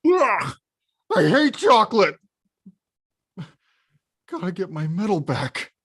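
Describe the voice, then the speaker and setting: A woman playing a gruff, hot-tempered big lug of a man in an animated film table read. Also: mid-range voice, slow pace, dry recording, studio quality, exaggerated voice. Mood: grumbling. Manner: grumpy, blustering.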